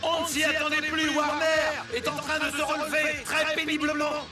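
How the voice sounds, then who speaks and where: A man playing an excitable, grandiose football commentator in a dubbed cartoon, with emphatic, theatrical delivery. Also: very high voice, normal speed. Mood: excited, urgent. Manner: emphatic, theatrical, formal wording. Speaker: sports commentator. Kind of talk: dramatic football match commentary in a dubbed anime. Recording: echoey, distant, loud music underneath.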